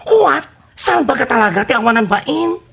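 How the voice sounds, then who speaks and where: An actor plays an excitable village gossip target from an Ilocano comedy radio drama. The voice is creaky and rough, swinging widely in pitch through dramatic exclamations, with an Ilocano accent. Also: normal speed, exaggerated voice. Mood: indignant.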